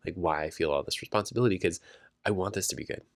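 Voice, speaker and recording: A man co-hosting a casual late-night podcast at home, talking softly and adding caveats, a bit congested. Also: sits low, quick pace, no echo, studio quality. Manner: quiet and hedging, laughs easily. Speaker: podcast co-host. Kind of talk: casual chat.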